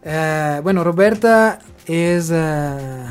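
A woman's voice: sits low, expressive, very slow, soft background music. A woman hosting a radio interview, asking friendly questions with many hesitations. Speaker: radio host.

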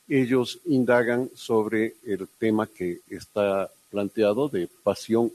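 A man formally introducing a keynote speaker at an academic conference, pausing often with fillers. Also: fairly low pitch, darkish tone, rough recording. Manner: hesitant, frequent fillers and restarts.

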